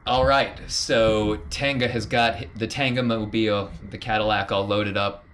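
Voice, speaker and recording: A man's voice: on the low side, level tone, moderate pace, fairly bright tone, slight background noise. A man narrating a tabletop role-playing game as game master in a casual, colloquial, wry way.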